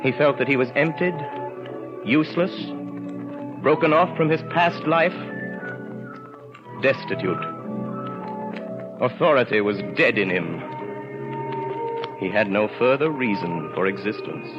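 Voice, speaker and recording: A man narrating a literary radio drama of the 1930s in a grave, theatrical manner with measured phrasing. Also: mid-range voice, music in the background. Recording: muffled 1930s radio recording.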